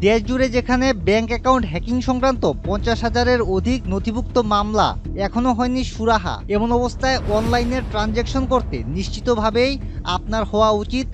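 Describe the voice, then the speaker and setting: A man's voice: extremely high pitch, steady tone, fast talker. A man reading a scripted news report as a reporter. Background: music bed.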